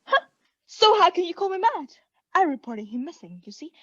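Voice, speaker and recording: A young woman playing a guilty, unhinged caretaker in a radio play, breathy and often whispering, with halting, insistent self-justification. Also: very high-pitched, sing-song, bright, forceful tone, muffled recording, heavily acted. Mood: smug, unhinged. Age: young adult. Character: guilty, unhinged caretaker, anxiously feigning calm politeness. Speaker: student actor.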